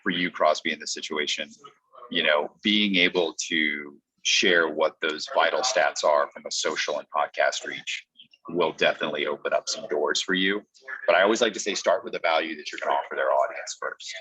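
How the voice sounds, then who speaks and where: A man's voice: steady tone, moderate pace, bright, forceful tone. A man giving a conversational training talk as a coach, explaining things step by step with example lines. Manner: explanatory, acts out example pitches in quotes. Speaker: podcast coach. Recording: dull, muffled lo-fi audio.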